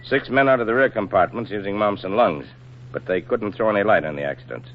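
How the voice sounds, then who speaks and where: A stern Navy admiral speaks low, with formal, measured authority. It is 1940s radio drama, muffled and dull, with clear background noise. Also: male voice, lively intonation. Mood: grave, businesslike.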